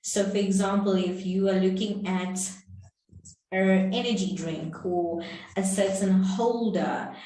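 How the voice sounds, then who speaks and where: A South African woman teaching English business expressions in a slow, explanatory way, repeating her points. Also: medium pitch, steady tone, dark tone, far from the mic. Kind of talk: online lesson. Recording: very echoey room, off the mic.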